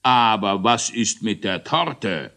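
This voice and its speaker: A man voicing a dignified, benevolent ruler of little creatures in a children's story, measured and thoughtful. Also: on the low side, lively intonation, bright, forceful tone, heavily acted. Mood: questioning. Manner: measured, regal, deliberate.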